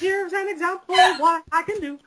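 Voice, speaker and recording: A woman's voice: very high-pitched, brisk, fairly bright tone. A woman voicing a cheerful cartoon mouse, singing a nursery rhyme comically over an online call. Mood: playful. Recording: faint noise on online voice call.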